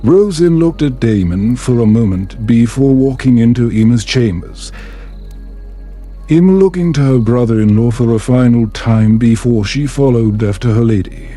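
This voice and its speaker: A man narrating a calm bedtime story in a measured, soothing storybook manner. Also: medium pitch.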